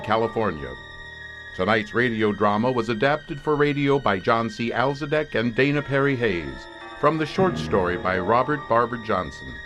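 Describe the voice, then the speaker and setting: A man reading scripted closing credits as the announcer of a radio drama. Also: mid-range voice.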